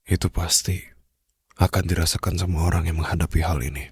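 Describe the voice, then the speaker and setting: A man playing a brooding, protective mafia boss in an intimate ASMR roleplay, speaking slowly and softly in a husky, breathy voice that drifts into whispers. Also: sits low, steady tone, no echo, full character voice. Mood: gentle, consoling. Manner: slow, hushed, formal and soothing, drifting into whispers. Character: brooding young male mafia boss, commanding yet tenderly protective. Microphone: close studio microphone, dry.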